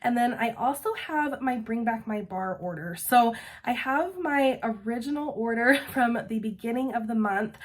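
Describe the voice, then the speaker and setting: A woman chatting casually in a product review, her voice still a little off after losing it to an illness, enthusiastic as she describes each scent. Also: on the high side. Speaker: vlogger reviewing scented wax.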